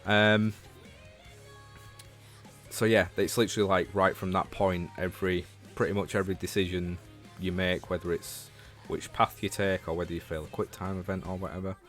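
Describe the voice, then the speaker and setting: Male livestreamer, low voice, Northern English accent, informal game chat over faint background music. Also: little pitch movement, moderate pace, a bit bright. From Leeds, England. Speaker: livestreamer and show host. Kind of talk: casual livestream chat about games, replying to viewers.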